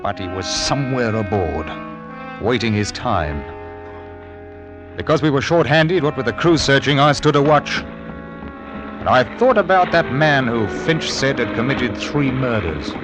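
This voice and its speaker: An actor playing a stern, anxious 1700s ship's captain in old-time radio drama, telling his tale gravely and dramatically. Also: medium pitch. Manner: dramatic, grave first-person storytelling. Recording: old radio recording with music behind.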